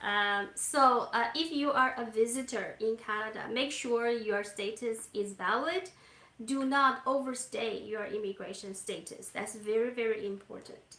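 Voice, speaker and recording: Soft-spoken woman immigration consultant. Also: fairly high pitch, measured pace, reverberant. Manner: soft-spoken, gentle, with hesitant fillers. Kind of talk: explaining to a live audience. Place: Canada.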